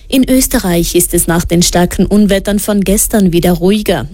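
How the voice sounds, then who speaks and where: Low, mellow-voiced woman reading radio news. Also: dry recording. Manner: steady, measured news reading. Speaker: news presenter. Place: radio studio. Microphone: studio microphone.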